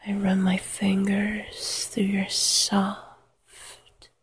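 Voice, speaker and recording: A woman whispering an intimate, sensual erotic monologue to the listener in a breathy, drawn-out way. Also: mid-range voice, monotone. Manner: slow, sensual, drawn-out delivery with soft moans.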